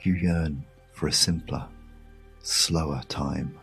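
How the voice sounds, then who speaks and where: A British man narrating a guided sleep meditation story in a hushed, soothing, measured way with long pauses. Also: a bass voice, fairly even intonation, vocal fry. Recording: music behind the voice. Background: music.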